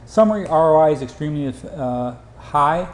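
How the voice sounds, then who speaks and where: A man giving an informal sales presentation over slides, with hurried, clipped phrasing. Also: fairly high pitch, animated. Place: indoor room, voice-over for slides. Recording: roomy echo, faint background noise.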